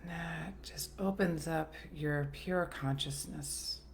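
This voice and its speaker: A woman leading a guided meditation in a soothing, gentle, unhurried manner with long pauses. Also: deep voice, vocal fry. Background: soft background music.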